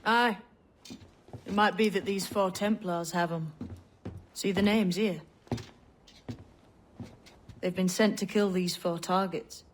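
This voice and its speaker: A woman's voice: medium pitch, fairly even intonation, slow pace, pauses often, a little hiss or hum, close to an everyday voice. A woman playing a shrewd, guarded young pirate in disguise in a video game, plain-spoken and colloquial. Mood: wry, challenging. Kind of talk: dramatic video game acting.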